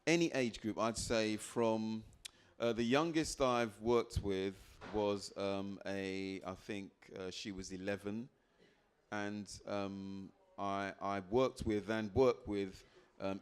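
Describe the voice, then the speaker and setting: Animated middle-aged man coaching. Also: fairly low pitch, slow pace, clear, resonant tone. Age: 50s. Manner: animated, quotes people in put-on voices, asks the crowd questions. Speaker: life coach. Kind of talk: motivational talk to a live audience.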